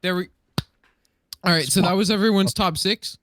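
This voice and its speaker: A man's voice: high voice, moderate pace, fairly bright tone, dry recording, studio quality. A man co-hosting a podcast in casual group chat. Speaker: podcast co-host.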